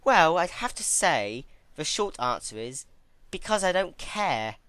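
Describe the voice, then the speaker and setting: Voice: mid-range voice, very animated, a bit bright, no room sound, voice pushed up. Narrator doing a lofty, ancient female pony goddess. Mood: calm condescension. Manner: measured, lofty, chiding. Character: serene, haughty ancient female pony goddess, gently condescending.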